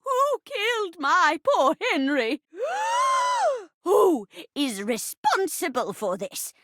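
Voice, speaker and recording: A woman voicing a theatrical old woman who is a living chair in a video game, with stagey gasps and hushed asides. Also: huge pitch swings, extremely slow, no room sound, clean studio sound, heavily acted. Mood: horrified, hammy. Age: older. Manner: stagey, gasping, swooping from hushed asides to high cries. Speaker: datable game character. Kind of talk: video game voice acting, murder-mystery melodrama.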